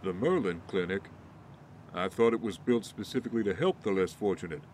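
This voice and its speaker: Over noisy ambience comes a low-pitched ex-Marine , acted by a man. He is principled and guarded, quick to moral indignation, in the manner of a superhero audio drama. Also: darkish tone, natural voice. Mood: kind, earnest.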